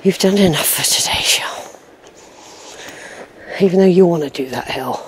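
A woman's voice: on the low side, moderate pace, slight background noise. Wheezy woman rambling on a walk. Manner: chatty, rambling, talks to herself. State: wheezy, short of breath. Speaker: walking vlogger. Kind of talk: casual walking commentary to camera. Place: outdoors on open grassy hillside. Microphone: handheld camera, unseen narrator.

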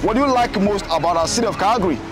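An upbeat man with a high voice, hosting street interviews outdoors. He keeps things lively and repeats his guests' answers back to them. Music plays clearly behind him. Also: very fast.

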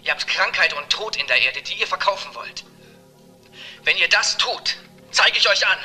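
Agitated young whistleblower, piercing taped-message sound, faint music underneath, crime drama. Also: male voice, high voice, lively intonation, normal speed, heavily acted. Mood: urgent, accusing. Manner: urgent, accusing. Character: agitated young nephew threatening to expose a crime. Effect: answering-machine playback. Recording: sharp answering-machine tape, faint music.

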